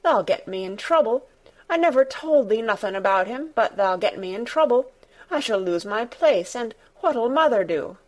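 An excitable young maid from a storybook, acted by a woman narrator. She is plain-spoken and superstitious, given to breathless exclamations in broad dialect. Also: mid-range voice, a little slow, poor audio. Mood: frightened, tearful.

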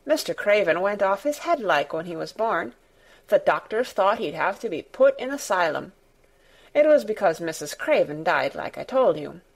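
A woman's voice: mid-range voice, sing-song, moderate pace. A woman voicing an agitated, plain-spoken young housemaid, exclaiming in dialect as in a storybook. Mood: confiding.

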